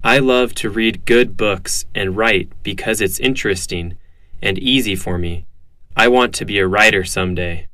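A man reading scripted lines for a language lesson in a plain, measured way. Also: on the low side, pushed and bright, no room sound. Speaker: dialogue reader.

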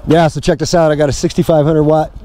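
A man's voice: a little high, level tone, fast talker, dark tone, slight background noise. A middle-aged man explaining a job to camera in a casual, plain-spoken, informal way. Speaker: homestead vlogger. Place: outdoors on open rural land. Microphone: clip-on mic and handheld camera.

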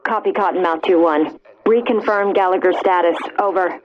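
A woman's voice: fairly low pitch, moderate pace, background noise. A woman playing a clipped, composed military command dispatcher in a video game, heard through a radio filter. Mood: composed, official. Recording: thin, phone-like radio transmission.

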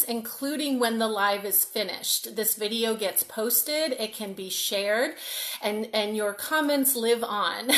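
Woman coach, 40s, slightly high voice, upbeat live-stream host greeting viewers, home webcam. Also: fairly bright tone. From Massachusetts, North Shore of Boston. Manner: upbeat, greets viewers by name, restarts words. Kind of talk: live-stream workshop talk. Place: room at home, indoors.

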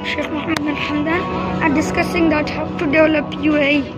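High-voiced woman vlogging over loud music. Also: little pitch movement, a little fast. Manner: exclaiming, repeating short phrases. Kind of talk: casual vlog tour commentary. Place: large dim public exhibition hall. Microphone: handheld phone. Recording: loud music and crowd behind the voice.

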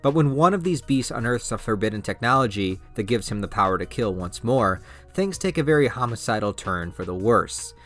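A man's voice: fairly low pitch, animated, fairly quick, hardly any pauses, a bit bright, soft background music. A man giving a scripted promotional narration as a video host. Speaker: YouTube host presenting a project.

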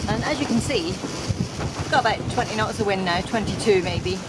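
A woman's voice: mid-range voice, normal speed. A woman chatting and teasing her partner while sailing. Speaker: vlogger, sailor. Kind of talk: casual chat. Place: on a sailboat at sea. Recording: loud wind and water noise.

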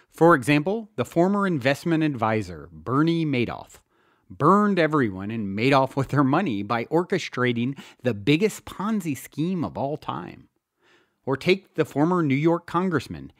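A man reading his own self-help audiobook as scripted narration, earnest and measured, with deliberate emphasis. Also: medium pitch, dry recording, studio quality. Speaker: podcast host and author reading own audiobook.

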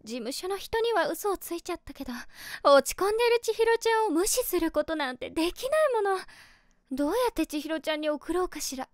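A woman playing a caring young female streamer who is secretly smitten, musing gently in a feminine way in a voice drama. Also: very high voice, expressive, a little fast, no echo, studio quality. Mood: relieved, fond. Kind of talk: Japanese voice drama monologue.

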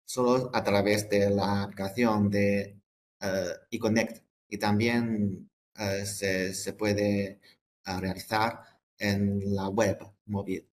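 A man presenting products in a webinar, halting and hesitant, often repeating words and breaking off phrases. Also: on the low side, flat delivery, slight background noise.

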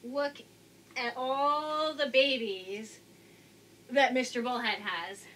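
Bright, high-voiced woman reading to children. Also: slow. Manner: expressive, addressing young children. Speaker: storybook reader. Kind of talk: children's picture-book read-aloud. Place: indoors, small room with curtain backdrop. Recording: faint background noise.

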